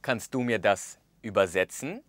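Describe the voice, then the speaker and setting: A man in his thirties presenting a language course in a studio, speaking with expressive gestures and acting out the example phrases. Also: fairly low pitch, unhurried, no echo. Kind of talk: scripted lesson narration. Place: studio with orange backdrop.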